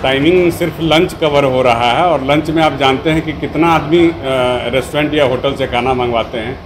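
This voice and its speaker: A man's voice: on the high side, normal speed, music in the background. A man in the restaurant trade giving an unscripted interview answer. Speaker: restaurant worker being interviewed. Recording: noisy location recording.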